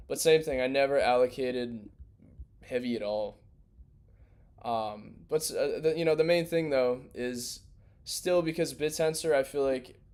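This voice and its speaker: A young man hosting a crypto livestream in loose, rambling, slangy casual chat, his voice worn and going hoarse. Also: mid-range voice, a little mellow. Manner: loose, rambling, slangy, restarts mid-thought. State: losing his voice.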